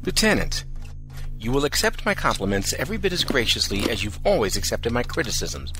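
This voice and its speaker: A man's voice: medium pitch, very animated, a little fast, lightly acted. A man playing a cheerful, wry, authoritative military commander in a science fiction audio drama. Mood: wry, commanding. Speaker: actor in a fan audio drama. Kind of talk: fan audio drama acting. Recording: music behind the voice.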